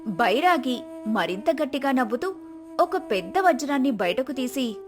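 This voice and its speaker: Female storyteller, higher-pitched voice, scripted folktale narration with background music. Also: sing-song, moderate pace. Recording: music behind.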